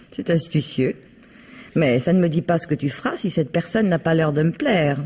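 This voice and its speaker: An actress playing a bitter, suspicious wife in a wheelchair, sarcastic and querulous, in old French radio drama style. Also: female voice, low-pitched, animated, normal speed, darkish tone, slightly rough, in a large hall. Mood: cool, sarcastic.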